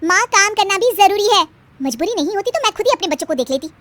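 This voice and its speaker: Cartoon daughter-in-law, woman performer: young, overworked, complaining, high voice, exasperated delivery. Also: expressive, fast, fairly bright tone. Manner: whiny, exasperated. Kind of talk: animated family drama storytelling.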